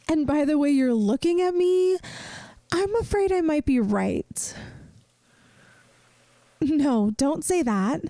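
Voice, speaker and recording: A woman playing a caring young best friend in a soft-spoken ASMR roleplay, gentle and earnest, hesitant at times, offering reassurance. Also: sits high, no echo, full character voice. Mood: concerned, teasing.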